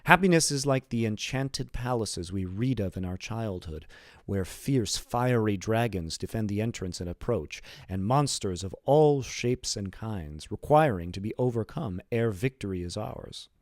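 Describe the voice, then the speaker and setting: A man's voice: lowish voice, expressive, measured pace, dry recording, clean studio sound. A man reading the part of a happy, earnest young sailor bridegroom in a storybook, eloquent and emphatic. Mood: earnest wonder.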